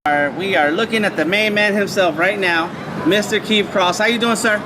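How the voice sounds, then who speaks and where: A man's voice: high voice, moderate pace, over music. A man hosting a casual live-stream chat, speaking in stop-start phrases with short affirmations. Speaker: podcast host and interviewer. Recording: distant mic, loud ambient noise.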